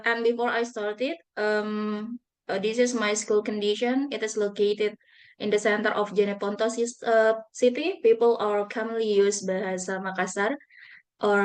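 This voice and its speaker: A woman teacher speaks English with an Indonesian accent shaped by her first language, Bugis. She presents carefully and at a measured pace, pausing on fillers and correcting herself now and then. Her voice sits at an ordinary middle pitch. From Indonesia (South Sulawesi). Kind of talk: online speaking-practice presentation.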